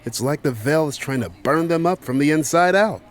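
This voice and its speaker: A man's voice: on the high side, animated, moderate pace, natural voice. A man acting as a blunt, wary manager in an audio drama, speaking emphatically. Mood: grim, defensive. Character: blunt, wary male manager, fearful of mutants. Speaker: general manager.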